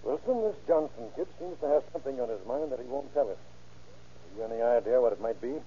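An actor playing a steady, businesslike deputy sheriff in an old radio crime drama. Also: male voice, medium pitch, fairly quick, lightly acted. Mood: questioning. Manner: businesslike, reassuring. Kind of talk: 1930s-40s radio crime drama acting. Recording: muffled old radio recording, faint hum.

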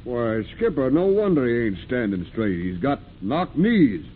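An actor playing a brash, rough-spoken sailor in an old radio drama, colloquial and restless. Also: male voice, medium pitch, very animated, measured pace. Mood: mocking. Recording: tinny, hissy old radio recording.

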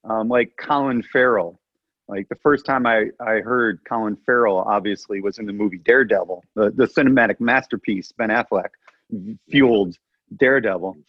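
A man co-hosting a podcast, rambling and giggling as he chats and often breaks off his own sentences. His voice is slightly husky. The audio sounds dull and muffled, with almost no room echo. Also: on the low side, moderate pace. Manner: rambling, giggly, self-interrupting. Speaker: podcast co-host. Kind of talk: casual comedic chat.

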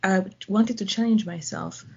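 Husky woman, chatty guest. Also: mid-range voice, fairly even intonation, moderate pace, highs cut off. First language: French. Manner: chatty, laughs often, uses "like". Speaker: guest, volunteer. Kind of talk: casual interview answers.

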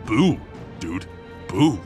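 A man's voice: on the low side, sing-song, extremely slow, a little mellow, soft background music, full character voice. A man voicing a laid-back young slacker sorcerer in a cartoon, with a stoner-like drawl. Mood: laid-back, disapproving.

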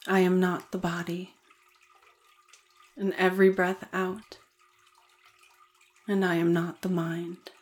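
A woman leading a guided meditation in a soothing, deliberate manner with gentle pauses. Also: fairly low pitch, level tone.

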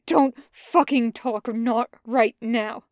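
Woman voicing young man, clipped, growling, slow, dry sound. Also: little pitch movement, dry recording. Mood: harsh. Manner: clipped, growling, low and tense.

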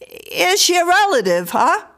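A woman's voice: very high-pitched, big pitch swings, measured pace, a bit bright, voice pushed up, heavily acted. Woman putting on a blunt, baffled working man. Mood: puzzled. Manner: blunt, slangy. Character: short red-headed man, gruff, slangy and baffled. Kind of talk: audiobook character acting.

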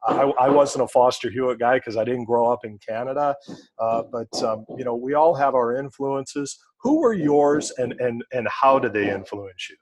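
A man's voice: medium pitch, normal speed, low-quality recording. An American man hosting a sports podcast, interviewing in a conversational way. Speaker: podcast host and sports broadcaster.

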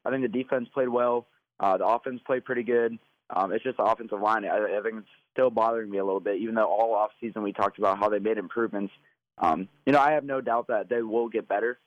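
Hoarse man calling in by phone. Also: lowish voice, fairly even intonation, quick pace, no echo. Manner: casual, many fillers. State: hoarse from yelling. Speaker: podcast co-host. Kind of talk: sports podcast recap over the phone. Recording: phone line.

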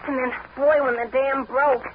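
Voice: sits high, flat delivery, moderate pace, natural voice. An actor playing a naive, grumbling teenage boy sidekick in a 1940s radio comedy, full of exclamations. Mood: boyish, fretful. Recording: noisy, tinny 1940s radio recording.

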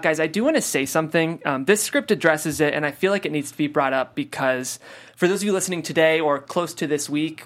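A man's voice: a little high, a bit bright. Earnest man hosting a podcast discussion. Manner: earnest, many "like" and "um" fillers. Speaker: podcast host. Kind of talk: casual discussion after a table read.

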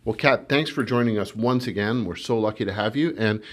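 A man's voice: lowish voice, brisk, fairly bright tone. A man hosting a podcast, introducing a guest in a friendly, loose and self-deprecating way. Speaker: podcast host. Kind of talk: casual podcast interview.

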